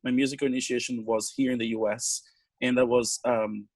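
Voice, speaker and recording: Halting Brazilian man telling his story. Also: medium pitch, level tone, darkish tone, dry recording. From Salvador, Bahia, Brazil. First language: Portuguese. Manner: halting, with pauses and repeated words. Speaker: panel guest, drummer in community bands. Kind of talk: unscripted personal storytelling on a panel.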